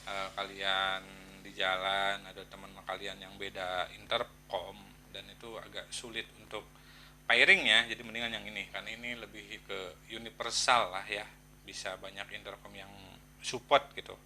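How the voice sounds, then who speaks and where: A man chats casually to viewers in a product review, halting and thinking aloud in slangy, informal speech. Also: on the low side, flat delivery, bright, forceful tone, a little hiss or hum, poor audio.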